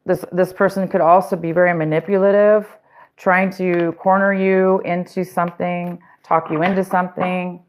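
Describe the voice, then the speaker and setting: A woman's voice: lowish voice, little pitch movement, normal speed. A woman giving a casual, rambling tarot reading, talking straight to her viewers with fillers and restarts, and saying she is losing her voice. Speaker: tarot reader.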